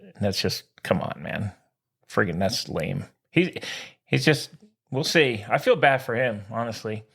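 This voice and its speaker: A man's voice: lowish voice, fairly bright tone. A man co-hosting a casual sports podcast, talking in a blunt, opinionated, exclamatory way.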